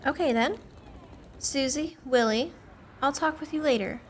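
A woman playing a polite, curious newspaper reporter in a radio play, with a measured interviewing manner. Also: high voice. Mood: obliging.

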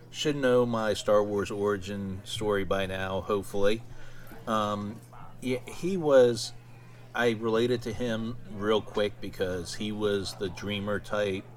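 Low-voiced man hosting a casual podcast. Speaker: podcast host. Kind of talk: casual solo podcast talk.

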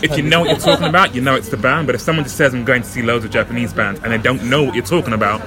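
A man with a deep voice, hosting a casual podcast interview outdoors. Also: a crowd in the background. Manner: quick, chatty and friendly. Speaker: podcast host. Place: outdoors in an urban smoking area. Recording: loud music in background.

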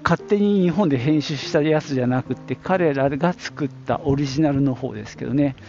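Male podcast host, middle-aged, reflective and conversational, gravelly edge, slightly dull lo-fi recording. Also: fairly high pitch, a little fast, mellow. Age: middle-aged or older. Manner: reflective, conversational, thinking aloud. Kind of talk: casual solo reminiscing. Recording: dull lo-fi audio, prominent music. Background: music.

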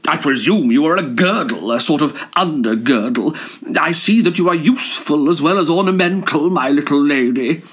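A man voicing a vain, boastful male shirt collar in a storybook reading, pompous and flattering. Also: on the high side, normal speed, husky.

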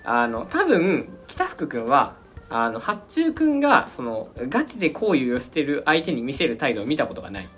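A man chatting casually and enthusiastically as he pitches drama ideas, acting out imagined lines. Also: wildly swooping pitch, normal speed, fairly bright tone, faint music behind. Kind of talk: casual creative brainstorming chat.

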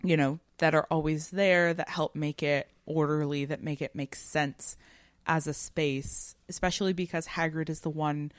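A woman's voice: low-pitched, steady tone, moderate pace, no room sound, clean studio sound. A woman co-hosting a podcast, talking through literary ideas in a thoughtful, analytical, conversational way peppered with "like" and "right?". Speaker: podcast co-host.

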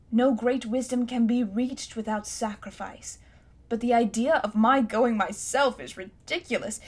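A woman's voice: on the high side, lightly acted. A woman voicing a pompous, cruel elderly male magician who talks down in a lofty, blustering way.

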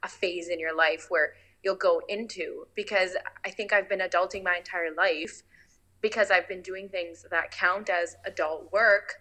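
A woman in her early twenties speaks earnestly in a casual conversation, thinking things through aloud. Her voice is lowish but crisp and bright, with many "like"s scattered through. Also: fairly even intonation, normal speed. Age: 20s. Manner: earnest, thoughtful, uses "like" a lot. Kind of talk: casual reflective chat.

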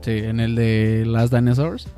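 A man's voice: mid-range voice, fairly even intonation, unhurried, warm tone, clean tone, soft background music. A young man with a Mexican Spanish accent, co-hosting a podcast in casual chat, slangy and swearing freely with constant filler words. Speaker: podcast co-host.

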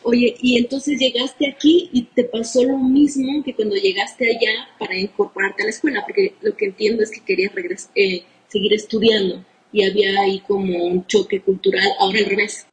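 A woman's voice: mid-range voice, fairly even intonation, moderate pace, hardly any pauses, poor audio. A woman interviewing a guest in a conversational way, prompting him with follow-up questions.

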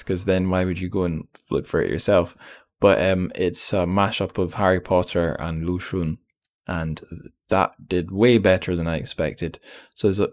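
A man with a deep, low voice hosts a podcast in casual spoken style. He is chatty and self-deprecating, wandering into little asides as he goes. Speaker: podcast host.

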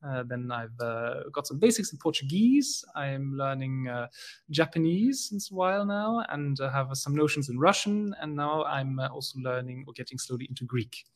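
A man from Belgium, speaking as a guest in a casual conversation, often pausing with fillers and restarting his sentences. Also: mid-range voice, animated. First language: German. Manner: hesitant, many fillers and restarts.

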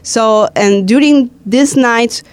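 A woman's voice: on the high side, slow, smooth, no echo. Chatty woman hosting. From Italy. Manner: chatty, playful, laughs and teases guests. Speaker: host. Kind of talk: casual radio-style interview.

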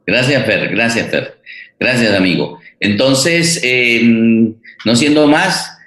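An older man with a Colombian accent hosting an unscripted event, haltingly searching for his words. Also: medium pitch, lively intonation, a bit bright. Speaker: host and theatre director. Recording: roomy, echoing voice pickup.

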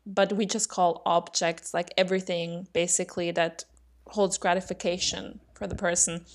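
A woman's voice: lowish voice, little pitch movement, moderate pace. A young woman with an Austrian accent, co-hosting a podcast and explaining ideas steadily in casual conversation, thinking aloud as she goes. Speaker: podcast co-host.

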